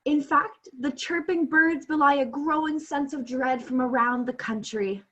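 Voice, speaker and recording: A woman's voice: high voice, fairly even intonation, unhurried, a bit bright, highs cut off. A young woman playing an earnest, improvising radio field reporter in a comedic radio play. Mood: earnest, stalling. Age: young adult. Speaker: student actor. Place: small bedroom at home. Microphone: laptop on a video call.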